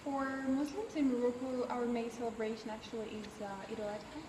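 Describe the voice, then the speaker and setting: A young woman in her twenties, a student, answering interview questions in a relaxed way with frequent pauses. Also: fairly high pitch, far from the mic. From United States. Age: 20s. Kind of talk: casual explanatory interview answers. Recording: echoey hall from afar, background noise.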